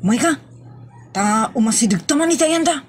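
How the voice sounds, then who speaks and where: Woman acting a high-pitched nosy village gossip. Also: expressive, moderate pace, slightly rough. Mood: curious, nosy. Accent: Ilocano. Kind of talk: Ilocano comedy audio drama.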